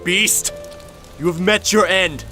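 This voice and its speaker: An actor playing a grave, righteous vampire hunter in a radio drama, declaiming in a solemn, commanding way. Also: male voice, high-pitched, takes it slowly, over music. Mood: furious, accusing.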